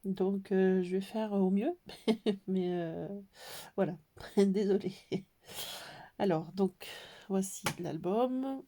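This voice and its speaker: A woman with a cold explaining a scrapbook album in a hesitant, casual way, with many pauses and fillers. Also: medium pitch, mellow. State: has a cold. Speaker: scrapbooking tutorial maker. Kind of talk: casual craft tutorial.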